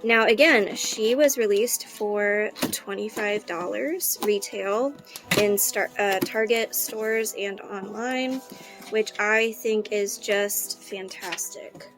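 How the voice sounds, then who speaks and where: A woman in her thirties who sounds hoarse, chatting casually with lots of ums and likes while unboxing a doll for her channel. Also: medium pitch, takes it slowly, music in the background. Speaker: doll collector vlogger.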